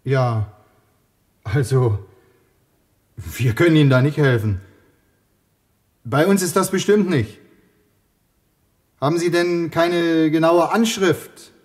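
An actor playing a suspicious, grudging young husband in a radio play, brusque and sarcastic. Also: male voice, fairly high pitch, takes it slowly, long pauses, a little mellow. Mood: wary, brusque. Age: young adult. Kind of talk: German radio play acting.

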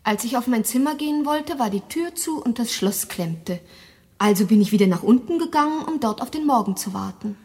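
A woman's voice: fairly high pitch, lively intonation, brisk, a little mellow. An actress playing a composed, affectionate and sweetly evasive young lady in a German radio drama. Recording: long hall reverb.

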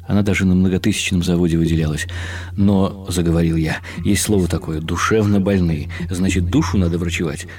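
A man reading a first-person literary story as a radio play, in a measured, wry and bitter tone. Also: sits low, steady tone, darkish tone.

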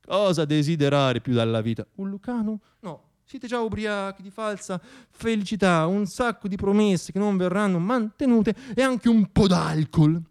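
A man's voice: high voice, expressive, normal speed, hardly any pauses, smooth. A man performing a satirical radio theatre monologue in a dramatic, ironic storytelling manner. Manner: dramatic, ironic, shifting between hushed and declaimed.

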